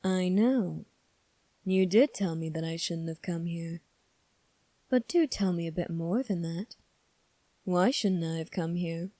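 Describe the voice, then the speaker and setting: A sly young prince played by a woman, with a soft mellow tone in a very dry, close recording. He speaks formally and calmly, probing and teasing with a faintly sadistic edge, in the manner of an ASMR audio drama. The pitch sits on the low side for a woman. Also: no echo, natural voice. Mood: coolly probing. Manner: measured, formal, coolly probing.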